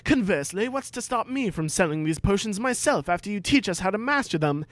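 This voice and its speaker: A man's voice: sits high, animated, fairly quick, a bit bright, no room sound, voice pushed up. A man voicing an earnest, pompous schoolboy who lectures with great self-assurance in a dramatic reading. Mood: bold, challenging. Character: earnest, pompous schoolboy free-market zealot.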